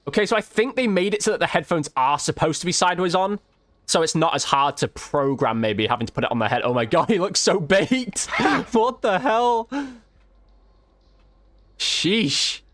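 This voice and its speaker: A man's voice: mid-range voice, a bit bright. A man giving casual, informal gameplay commentary as a video host, with slang and mild swearing.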